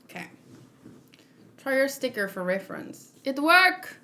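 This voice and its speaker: A woman hosting a read-along in a casual, expressive and giggly reaction style. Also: sits high, unhurried. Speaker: host of a manga read-along.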